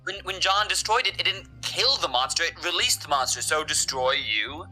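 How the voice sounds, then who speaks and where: A man's voice: on the high side, lively intonation, moderate pace, sharp tone, faint music behind, rough recording. A man playing a weary but resolute young friend in an audio drama, earnest and wry. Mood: urgent.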